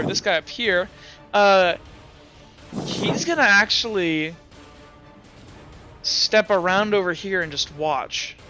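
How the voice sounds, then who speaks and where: A young man with a high, bright voice runs a tabletop game as game master. He talks in a rambling way, full of fillers and quick self-corrections. Faint music plays behind him. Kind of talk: running a tabletop game online.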